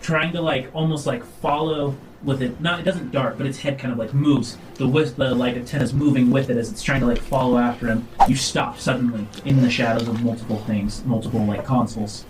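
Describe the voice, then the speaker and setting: A man narrating a tabletop role-playing game as game master, describing scenes in a halting, casual way. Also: medium pitch, fairly even intonation, background noise. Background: background music.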